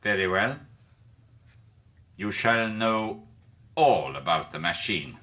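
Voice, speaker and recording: Radio drama villain: imperious colonel, fairly low, animated pitch, formal, coldly menacing. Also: male voice, slow, very noisy. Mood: suspicious, cold. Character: sinister male colonel, curt, commanding, coldly menacing.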